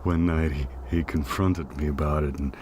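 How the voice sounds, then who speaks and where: A man playing a guilt-ridden corrupt policeman in an audio drama, speaking haltingly and stammering as he confesses. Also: extremely low pitch, fairly even intonation, a little mellow, slight background noise. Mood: heavy, guilty confession. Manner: halting, stammering, repeating himself. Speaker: voice actor in a fan-made audio drama.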